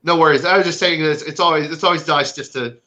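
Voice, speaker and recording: A man answers interview questions in a casual, easygoing way. His voice is mid-pitched with a bright, crisp edge. He feels his way through sentences with some hesitation. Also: fairly even intonation, fast talker, highs cut off. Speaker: stage actor, interview guest.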